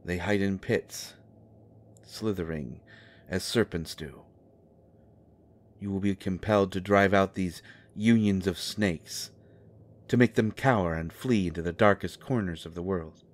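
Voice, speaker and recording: A male actor plays a low-voiced, grandiose oddball who insists he is human. He speaks in theatrical, halting pronouncements like a cryptic prophet, suited to an urban fantasy audio drama. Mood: solemn, prophetic.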